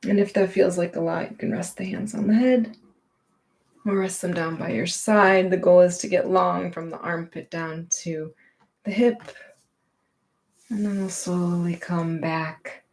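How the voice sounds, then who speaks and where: Slow, low-pitched woman guiding meditation. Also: rough recording. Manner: gentle, unhurried, reassuring, permissive. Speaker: yoga and meditation teacher. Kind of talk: guided gentle movement and meditation instruction.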